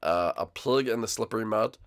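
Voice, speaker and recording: A man's voice: on the low side, brisk, natural voice. A man voicing a bratty young dragon-turtle prince who whines and teases his father. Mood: whiny. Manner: whiny, teasing, easily excited.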